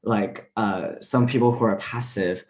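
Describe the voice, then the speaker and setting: A man whose first language is Mandarin, speaking as a diplomat on a panel discussion, relaxed and full of fillers. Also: on the low side, measured pace. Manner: relaxed, many fillers and restarts.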